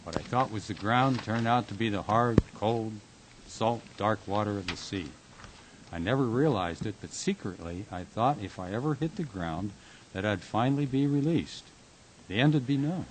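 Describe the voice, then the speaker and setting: A man performs a tormented radio drama figure with a fairly low voice. He broods and halts, pressing on intensely as if haunted. A faint hiss sits on the amateur recording. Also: lively intonation, close to an everyday voice, low-quality recording. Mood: anguished, intense. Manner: brooding, halting, intense monologue delivery. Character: Jack, a tormented man haunted by falling dreams.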